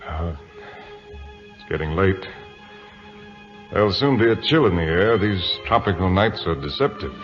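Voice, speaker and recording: Low-voiced, reserved sea captain. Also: male voice, animated. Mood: guarded, troubled. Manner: formal, halting, restrained. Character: reserved, dutiful British sea captain, formal and awkward. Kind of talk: old-time radio drama acting. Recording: muffled old radio broadcast with music.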